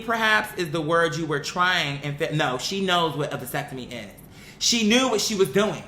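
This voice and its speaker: A young adult commentator giving a blunt, exasperated opinion rant in casual, sweary language, repeating phrases for emphasis. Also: sharp tone. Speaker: online commentator. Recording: echoey room with faint rumbling noise.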